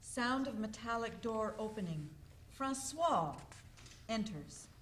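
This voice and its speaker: A woman's voice: a little high, expressive, takes it slowly, a little hiss or hum. A woman reading stage directions as scripted narration for a play.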